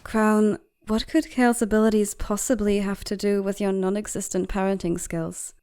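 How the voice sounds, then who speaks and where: A woman's voice: medium pitch, level tone, moderate pace, dark tone, slight background noise, lightly acted. A woman playing an outspoken, protective bird doctor at an academy in a comic fandub, articulate, insistent and reproachful. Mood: indignant. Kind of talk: dramatic comic fandub acting.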